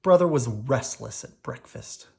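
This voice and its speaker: A woman reading a children's storybook aloud in an animated, unhurried way for young listeners. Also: extremely low pitch, creaky. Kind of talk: expressive read-aloud storytelling.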